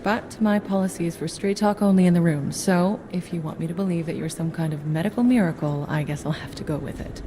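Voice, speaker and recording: An actress playing a composed, straight-talking agency doctor in a video game, measured, dry and probing. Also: female voice, fairly low pitch, background noise, natural voice. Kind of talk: video game cutscene acting.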